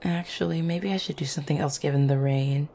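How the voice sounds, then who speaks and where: A woman playing an affectionate, playful vampire girlfriend in an intimate ASMR roleplay, teasing gently with small hesitant stammers. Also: low-pitched, fairly even intonation, fairly quick. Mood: casual, affectionate. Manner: soft-hearted, teasing, hesitant little stammers and chuckles.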